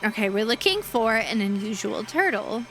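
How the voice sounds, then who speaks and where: A bubbly woman streamer chats with her viewers from her home setup, sweet and quick to thank them. Her voice is high, lively and bright, though it is going hoarse. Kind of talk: casual live-stream chat while gaming.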